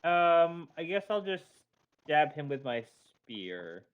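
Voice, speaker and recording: A man's voice: fairly high pitch, very animated, slow pace, clean tone, narrow, tinny audio. A man playing an online tabletop role-playing game, talking casually as one of the players. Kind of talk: casual online gaming chat.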